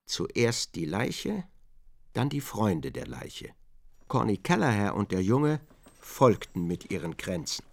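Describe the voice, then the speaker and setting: A man reading scripted literary narration for a radio drama in a measured, precise way. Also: on the low side, big pitch swings, vocal fry.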